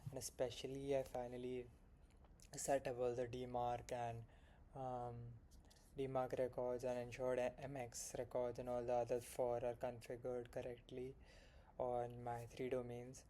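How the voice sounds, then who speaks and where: A man's voice: mid-range voice, monotone, measured pace, mellow, a little hiss or hum. A young man with an Indian accent talking through his day in a frank, rambling late-night vlog, full of fillers and self-corrections. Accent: Indian English. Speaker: solo founder vlogging his progress.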